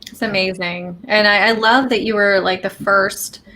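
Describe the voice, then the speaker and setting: Woman host, bright clear voice, eager and encouraging, lots of ums. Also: medium pitch, fairly even intonation, moderate pace. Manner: warm, eager, encouraging with frequent fillers. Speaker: interview host. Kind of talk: casual interview chat.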